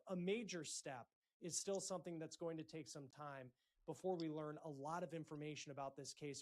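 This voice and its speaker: A male news presenter with a slightly high voice reports a breaking story. He phrases things deliberately, leaning on key words and pausing for drama. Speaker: news host.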